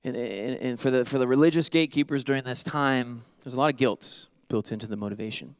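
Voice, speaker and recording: A man in his thirties preaching a sermon on a church stage into a handheld mic, animated and joking, then dropping to soft earnest tones. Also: mid-range voice, quick pace, clear, resonant tone, dry recording, studio quality. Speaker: pastor.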